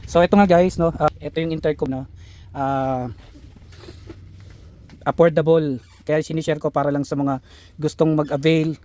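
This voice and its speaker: A man casually presenting a motorcycle vlog, talking haltingly with frequent filler pauses. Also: a little high, fairly even intonation, warm tone. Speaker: motorcycle vlogger. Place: outdoors then inside a shop.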